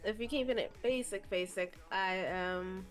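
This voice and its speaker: A woman's voice: mid-range voice, normal speed, soft background music. A young woman from the Bahamas, a streamer guest chatting casually in an interview, laughing often and swearing freely.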